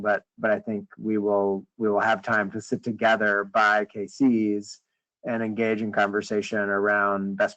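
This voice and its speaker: A man leading a conference call, briefing informally in a hesitant, halting way and often rephrasing mid-sentence. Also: lowish voice, fairly bright tone. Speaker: meeting leader on a conference call. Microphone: conference call line. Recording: dull, lo-fi conference call audio.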